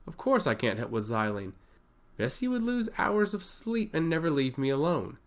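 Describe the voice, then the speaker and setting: A man's voice: fairly high pitch, sing-song, normal speed, voice pushed up. A man voicing an amused, teasing god of intellect in a theatrical way for a fantasy story. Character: smiling, playfully coy male god of intellect.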